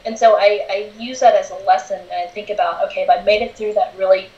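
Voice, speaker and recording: A woman's voice: mid-range voice, fairly even intonation, fast, a little mellow, echoey room, muffled recording. A woman in her forties, a guest in a casual interview, talking candidly and laughing easily. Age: 40s.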